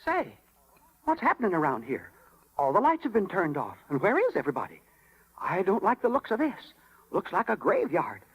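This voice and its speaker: An excitable, fussy little cricket. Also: male voice, sits high, moderate pace, husky, full character voice, rough recording. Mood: uneasy. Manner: excitable, scolding, folksy. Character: small male cricket conscience, fussy, indignant, caring. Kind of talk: 1930s radio acting. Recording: vintage 1939 radio with music.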